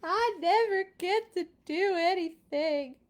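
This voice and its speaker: A woman voicing a stubborn, childish storybook pigeon who wheedles and whines in dramatic, drawn-out pleas. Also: very high voice, voice pushed up. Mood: wheedling, pleading. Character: pleading, stubborn, childish cartoon pigeon begging to drive. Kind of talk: animated storybook read-aloud.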